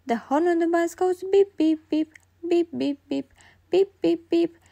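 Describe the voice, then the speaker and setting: A woman reading children's stories aloud in an expressive way, singsong in the refrains. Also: very high-pitched, unhurried, vocal fry. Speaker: children's storybook reader. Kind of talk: read-aloud narration for young children.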